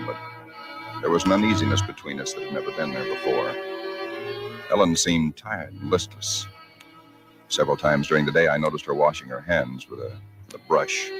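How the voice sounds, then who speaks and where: An actor playing a shaken, dread-filled husband in 1940s radio suspense, earnest and halting, on a vintage recording. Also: male voice, rumbling low. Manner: halting, earnest, repeats words.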